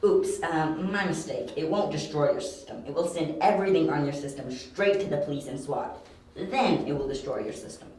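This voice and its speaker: Young detective, male actor: analytical, cocky, dryly clipped, fairly high voice, amateur audio drama, distant from mic. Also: moderate pace, a little mellow, close to an everyday voice. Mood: coolly defiant. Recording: very echoey, distant, with faint hiss.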